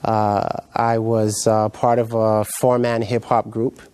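A middle-aged man from Jamaica, raised in New York, giving his personal testimony as a guest pastor in a halting, reflective way. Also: on the low side, little pitch movement, darkish tone, clear, resonant tone. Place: indoor TV studio set, seated.

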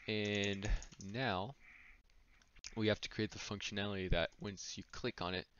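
A man's voice: lowish voice, steady tone, unhurried, fairly bright tone, smooth. A man explaining code as he types, thinking aloud with small slips. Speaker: coding tutor. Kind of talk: screen-recorded coding walkthrough. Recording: dull lo-fi mic with noise. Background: keyboard typing and clicks.

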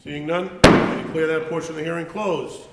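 A man's voice: a little high, fairly even intonation, brisk, heavy background noise. A middle-aged man speaking formally as a council president, running a public hearing by procedure. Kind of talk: formal procedural meeting talk. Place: large council chamber.

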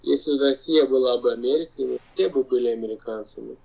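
Gravelly Lithuanian-accented man, insistent. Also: medium pitch, mellow, poor audio. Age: 40s. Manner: insistent, halting non-native speech with pauses. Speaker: video-chat guest. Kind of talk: heated video-chat argument. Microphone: video call.

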